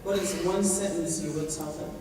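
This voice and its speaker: A man emceeing a pageant, announcing contestants in a booming, drawn-out hype style. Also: fairly even intonation, noisy background. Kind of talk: stage announcing. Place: large indoor hall with audience. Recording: echoey hall from far off with music and crowd.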